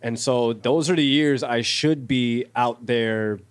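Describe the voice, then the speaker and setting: A man's voice: medium pitch, takes it slowly, smooth. A young man with an ordinary mid-range voice shares his own experiences in a podcast conversation. He is candid and self-reflective, and he talks things through openly. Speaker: podcast guest. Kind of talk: personal reflection in casual chat.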